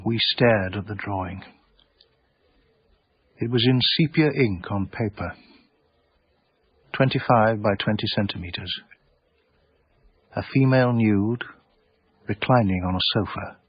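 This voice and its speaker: A man playing a cultured, sardonic art dealer with an English accent and a husky voice, narrating a radio drama in a measured, literary way. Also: on the low side, dry recording. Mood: enraptured. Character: wry, cultured, obsessive small-time art dealer narrator. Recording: dry, slightly muffled radio broadcast.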